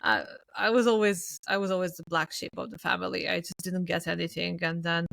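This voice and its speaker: A woman's voice: fairly low pitch, brisk, vocal fry, a bit bright, dry recording. Blunt Croatian woman, dry close sound. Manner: frank, blunt, laughs at herself. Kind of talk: casual podcast chat.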